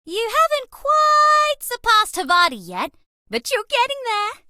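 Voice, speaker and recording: Very squeaky, bubbly alien mouse girl. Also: wildly swooping pitch, unhurried, sharp tone, no echo, studio quality, full character voice. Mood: smitten, cheery. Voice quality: squeaky, girlish.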